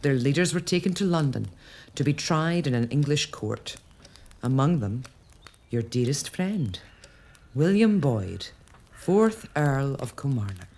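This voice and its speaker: An actress playing a composed early-1800s lady of a castle, speaking in a measured, gently defiant way. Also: a bass voice, big pitch swings, heavily acted. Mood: resolute. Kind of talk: spoken monologue to a ghost.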